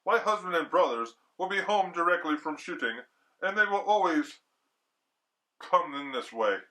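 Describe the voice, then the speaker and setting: A man voicing a brisk, cheerful, gushing hostess lady in a story reading. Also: on the high side, lively intonation, bright, forceful tone, voice pushed up, rough recording. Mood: brisk, chatty. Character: brisk, chattering middle-class hostess lady.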